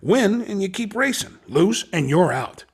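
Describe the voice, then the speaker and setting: A man voicing a slick, pushy underground race recruiter in a video game, with a raspy voice and cocky, insinuating salesman patter. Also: sits high, very animated, unhurried, exaggerated voice. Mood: sly, threatening. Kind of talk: video game character dialogue.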